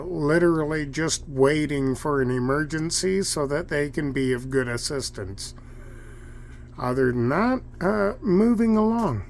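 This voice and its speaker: A man giving casual, unscripted commentary as an amateur radio demonstrator, halting and measured with pauses. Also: fairly high pitch. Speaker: amateur radio operator narrating a video. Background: shortwave radio noise and chatter.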